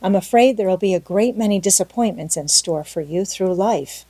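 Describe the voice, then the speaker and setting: A woman's voice: mid-range voice, normal speed, dark tone, dry recording, close to an everyday voice. A woman voicing a stern, practical middle-aged farm woman in an audiobook, with a dry, reproving and resigned manner. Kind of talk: audiobook character acting.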